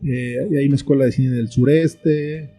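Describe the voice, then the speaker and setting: A man's voice: mid-range voice, steady tone, moderate pace, dark tone, faint music behind. A middle-aged man with a Mexican accent, talking casually as the guest in an interview. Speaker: interview guest, writer and film teacher.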